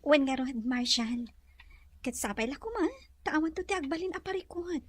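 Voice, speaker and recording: A very high-voiced sweet bride. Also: female voice, animated, normal speed. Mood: tender, worried. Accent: Ilocano. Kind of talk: Filipino radio drama acting.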